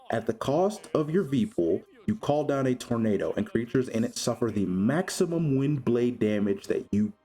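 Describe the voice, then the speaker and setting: A man's voice: on the low side, dark tone, slightly rough. A man narrating a casual tabletop game guide from notes, offhand and sweary, tripping over hard words. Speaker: host of a tabletop game character build guide.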